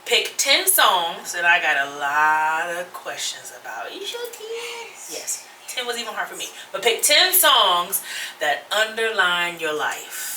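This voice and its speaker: Laughing, lively woman podcast host. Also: medium pitch, slight background noise. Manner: laughs often, lively and playful. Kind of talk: casual group chat. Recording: roomy echo, mic at a distance.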